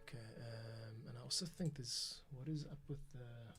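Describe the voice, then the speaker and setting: A man's voice: mid-range voice, steady tone, normal speed. A man thinking aloud in casual commentary as he edits, muttering to himself. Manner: muttering to himself, humming and counting along. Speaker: podcast editor and host. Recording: loud music over the voice. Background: loud music, keyboard typing.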